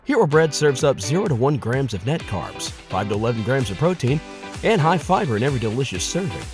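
A man reads a commercial in a brisk, promotional way, his pitch moving a lot. Music plays clearly under his voice. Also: big pitch swings. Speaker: ad narrator. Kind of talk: scripted ad read.

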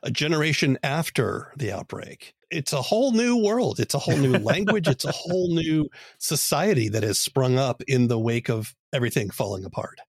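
A dry recording of a male podcast host interviewing conversationally. His mid-range voice rises and falls in an animated way. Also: medium pitch, normal speed, breathy edge, no room sound.

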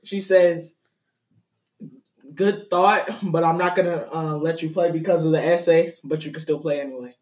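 A man's voice: fairly high pitch, fairly even intonation, moderate pace, a little mellow. A young man casually telling a story on a podcast, rambling and correcting himself as he goes. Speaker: podcast co-host.

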